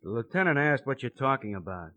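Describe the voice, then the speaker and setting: An actor playing a dry, plainspoken police sidekick in 1950s radio crime drama style. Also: male voice, medium pitch, sing-song, quick pace, fairly bright tone. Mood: deadpan. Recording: muffled old radio recording.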